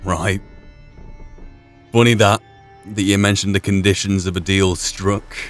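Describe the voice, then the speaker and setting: Low-voiced man musing over a game. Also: little pitch movement, faint music behind. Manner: thoughtful, halting, thinking through asides. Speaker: gaming video host. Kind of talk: reflective playthrough commentary, musing aloud.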